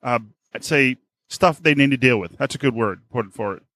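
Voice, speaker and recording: A man hosting a casual podcast interview, haltingly searching for his words. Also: mid-range voice, fairly bright tone, no room sound. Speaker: podcast host.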